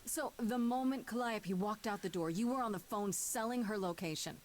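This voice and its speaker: An actress playing a wary, sharp-tongued young heroine in a video game, dry, questioning and confrontational. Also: female voice, medium pitch, moderate pace, a little hiss or hum, close to an everyday voice. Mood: hurt, accusing.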